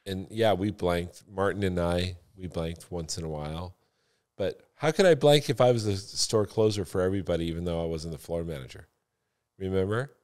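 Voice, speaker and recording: Male, lowish pitch, assertive and emphatic ex-salesman swapping stories in loose, casual talk. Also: moderate pace, a little mellow. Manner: assertive, emphatic, repeats himself, swears casually. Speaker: former car salesman and floor manager, podcast talker.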